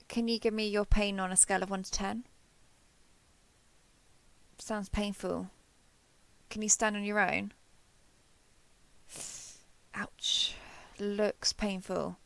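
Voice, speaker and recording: Crisp, bright-voiced caring robot soldier, played by a woman. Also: mid-range voice, dry recording. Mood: gentle, reassuring. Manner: gentle, reassuring, slightly awkward. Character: giant friendly alien transforming robot soldier, caring and reassuring. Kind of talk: scripted roleplay audio drama addressed to listener.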